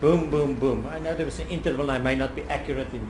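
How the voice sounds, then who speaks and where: A man with a South African accent questioning a witness in court in a probing, deliberate way. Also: mid-range voice, fairly even intonation. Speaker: lawyer cross-examining a witness. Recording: noisy, ambient courtroom recording.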